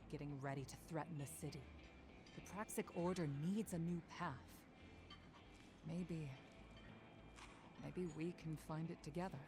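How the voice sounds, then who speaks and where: A serious mentor from a sacred warrior order in a game. She is formal and deliberate, with earnest resolve, and music plays clearly behind her. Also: sits low. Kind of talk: dramatic video game dialogue. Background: prominent music.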